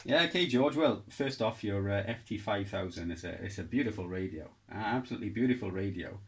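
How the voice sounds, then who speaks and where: A man talking casually as an amateur radio operator, unhurried and repeating phrases for emphasis. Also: lowish voice, animated, background noise. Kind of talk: casual ham radio contact. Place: home radio shack.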